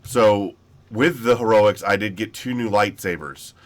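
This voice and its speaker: Man with a cold, nasal, very deep voice, rambling gameplay chat. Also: unhurried. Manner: rambling, easygoing. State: sick, a little nasal. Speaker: gaming channel host. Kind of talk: casual gameplay commentary.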